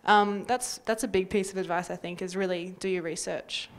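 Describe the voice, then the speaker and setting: A woman's voice: medium pitch, steady tone, moderate pace, slight background noise. A young adult woman with an Australian accent giving practical advice in panel talk on a handheld microphone. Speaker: community radio panelist. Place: indoor panel event.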